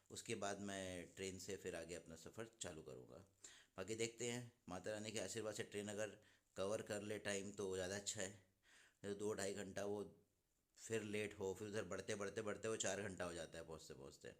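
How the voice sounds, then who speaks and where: Lower-voiced man, slightly hoarse. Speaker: vlogger, husband. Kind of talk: casual travel vlog to camera. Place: indoors in a room at night.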